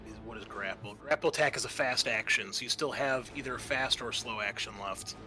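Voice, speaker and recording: A man's voice: mid-range voice, brisk. A man acting as game master in a tabletop roleplaying game, explaining rules casually and thinking aloud as he goes. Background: background music.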